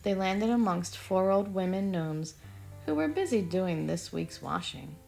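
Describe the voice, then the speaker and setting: A woman's voice: mid-range voice, animated, a little slow, creaky, a little mellow, faint music behind. A woman from Texas, reading a children's story aloud expressively. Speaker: storybook reader. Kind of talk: children's read-aloud narration.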